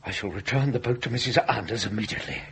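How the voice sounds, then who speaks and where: An actor playing a fussy, learned middle-aged gentleman in a period ghost-story drama, speaking with pompous, old-fashioned precision. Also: mid-range voice, fast, slight background noise, natural voice. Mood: grave dread. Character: fussy, learned gentleman scholar, irritable and gravely apprehensive.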